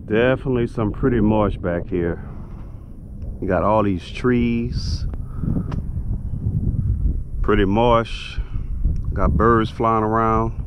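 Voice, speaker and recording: A man's voice: lowish voice, fairly even intonation, slow pace, pauses often, background noise. A man giving casual, laid-back, folksy commentary to viewers as a fishing vlogger, outdoors on a boat in a marsh.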